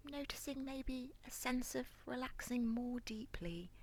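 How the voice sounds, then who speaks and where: Very slow, breathy woman guiding relaxation. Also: sits high. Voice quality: soft, breathy, near-whispered. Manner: calm, gentle, soothing, unhurried. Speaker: guided relaxation instructor. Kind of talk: guided body scan meditation.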